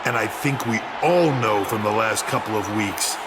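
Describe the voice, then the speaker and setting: A man's voice: fairly low pitch, normal speed, lightly acted. A man playing an arrogant, menacing wrestling villain with a condescending, taunting promo delivery in a video game. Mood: mocking. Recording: very noisy arena sound.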